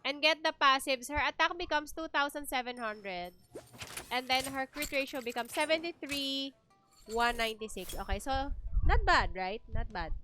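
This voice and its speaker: A woman's voice: sits high, expressive, moderate pace, sharp tone, faint music behind. A woman with a Filipino accent hosting a game livestream, chatting casually and thinking aloud as she switches between two languages.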